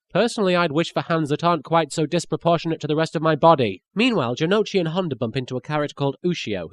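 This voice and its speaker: Guy doing a snarky scripted comedy review, pitch jumps all over, mock disbelief. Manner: sarcastic, mock-incredulous asides. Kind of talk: scripted comic review narration.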